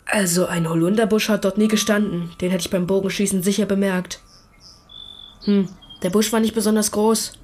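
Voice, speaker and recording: A woman playing a hesitant, secretive schoolboy giving an account in a naturalistic radio drama, halting and defensive. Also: medium pitch, fairly even intonation, a little mellow, slight background noise, close to an everyday voice. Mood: hesitant recounting. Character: schoolboy Tobias, hesitant, earnest, secretive witness.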